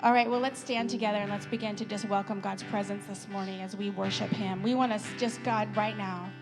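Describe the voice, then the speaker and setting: A woman's voice: medium pitch, fairly even intonation, brisk, over music. An American woman giving informal church announcements in an enthusiastic, chatty way, as one of the congregation's leaders.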